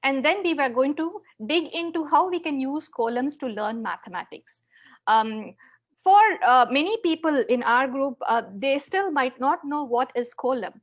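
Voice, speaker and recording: A woman with a South Indian accent presenting an interactive online lesson, halting and often repeating words as she explains. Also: high-pitched. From Chennai, South India. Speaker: teacher, online conference presenter. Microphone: video call.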